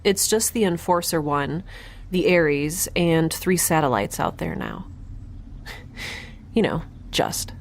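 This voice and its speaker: Over an audibly noisy ambient bed, a young woman living in space in a sci-fi audio drama. Her voice sits on the low side. She is blunt and inquisitive, analysing things in a wry, rambling way. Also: expressive, slow pace, gravelly, pauses often, heavily acted. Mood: giddy, wry.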